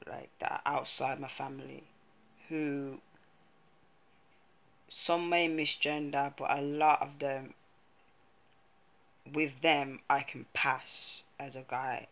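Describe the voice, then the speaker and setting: Bright, slow-talking young man. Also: mid-range voice, little pitch movement, creaky, low-quality recording. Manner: frank, hesitant, full of ums and restarts. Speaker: vlogger. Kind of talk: casual personal vlog talk.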